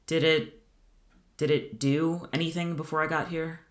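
A man's voice: on the high side, flat delivery, a little slow, fairly bright tone. A man playing a sarcastic, exasperated but protective young friend in a naturalistic audio drama. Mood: wary. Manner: sardonic, scolding. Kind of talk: naturalistic audio fiction podcast acting.

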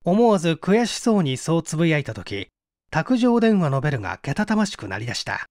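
A man's voice: a little high, big pitch swings, brisk, darkish tone, no echo, clean studio sound. A man reading mystery fiction aloud as a dramatic scripted narration. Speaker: audiobook reader.

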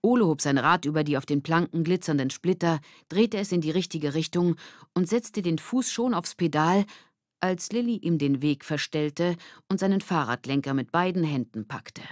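Low-voiced woman narrating a children's story. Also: normal speed, darkish tone, no room sound, clean studio sound. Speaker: audiobook narrator. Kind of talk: scripted narration of a children's novel.

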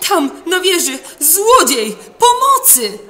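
A quarrelsome storybook widow. She shrieks very high and very loud, full of outrage and panic. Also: big pitch swings, slow pace, heavily acted. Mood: panicked, outraged. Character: shrill quarrelsome widow crying thief.

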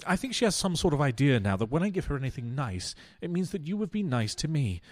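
A man's voice: fairly high pitch, very animated, fast, a little mellow. A man playing a pompous, self-pitying philandering husband in a radio play, speaking floridly. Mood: lovelorn, self-pitying. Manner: earnest, florid, grandiose. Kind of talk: stage-style radio play acting.

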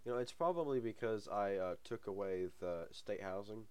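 A young man with a cold talks over a game in a low, deep voice, sniffling as he goes. He rambles, hesitates with plenty of ums, and chuckles to himself now and then. It sounds like an easygoing home let's-play. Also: normal speed, a little mellow, smooth. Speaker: gaming channel host. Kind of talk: casual let's-play commentary.

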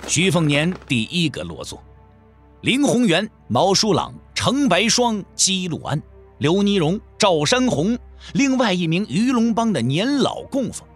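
A man narrates a wuxia tale in a fairly high voice that moves widely in pitch. Music plays under his scripted storytelling. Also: a bit bright, slightly rough. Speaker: narrator of an audio drama.

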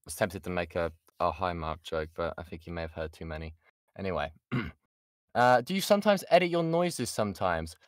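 A British man with a fairly low voice in a dry, close-sounding recording. He teaches informally like a workshop host, chatting along, interrupting himself and repeating points to stress them. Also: lively intonation, brisk, dry recording. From United Kingdom. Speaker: voice actor giving a workshop. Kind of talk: informal online lesson with audience questions. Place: indoors, online voice chat.

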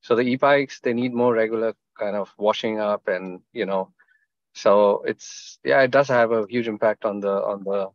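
A man's voice: fairly low pitch, moderate pace, no room sound. Middle-aged man on muffled, dry call audio. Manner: loose, repeating you know and I mean. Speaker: startup founder.

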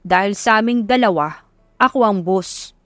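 A woman's voice: mid-range voice, normal speed, no echo, close to an everyday voice. A woman voicing a furious, drunk, jealous and bullying boyfriend in an audiobook drama, accusing and domineering. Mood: angry, threatening.

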